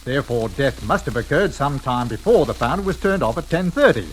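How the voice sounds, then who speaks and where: An actor playing a methodical, politely probing police inspector in an old radio drama, with deliberate questioning. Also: male voice, mid-range voice, very animated, close to an everyday voice, rough recording. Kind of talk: 1940s radio drama acting. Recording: old radio recording, faint hiss.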